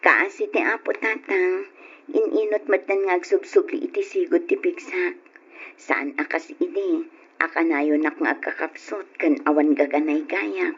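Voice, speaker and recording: Husky, anxious radio drama mother. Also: on the low side, measured pace. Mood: weary, grateful. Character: caring, anxious mother and wife, weak from illness. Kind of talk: old Ilocano radio drama acting. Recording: dull, lo-fi old radio recording.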